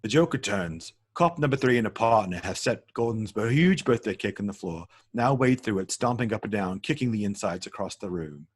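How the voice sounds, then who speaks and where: Husky, lowish male narrator. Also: animated, fairly quick, few pauses, no room sound, studio quality. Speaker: stage-direction narrator. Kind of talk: scripted narration at a table read.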